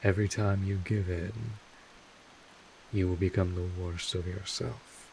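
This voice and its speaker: A man playing a world-weary but kindly old vampire mentor in an audio roleplay, speaking informally and in measured phrases with wry asides. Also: low voice, little pitch movement, vocal fry, darkish tone, slight background noise, poor audio. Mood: grave, instructive. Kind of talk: second-person audio roleplay monologue.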